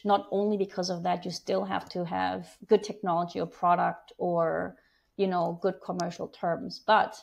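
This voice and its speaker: A woman in her forties from Germany, a business executive answering interview questions in a measured, thoughtful way, speaking through earphones on a video call. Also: mid-range voice, gravelly, darkish tone. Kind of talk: conversational interview. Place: home room with slanted ceiling window, indoors. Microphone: wired earphone mic on a video call.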